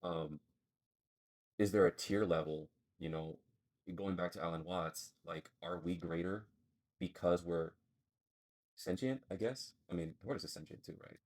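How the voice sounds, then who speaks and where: A man's voice: low voice, a little slow, pauses often, darkish tone. A man co-hosting a casual podcast chat, thinking aloud and rambling as he searches for his words. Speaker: podcast co-host.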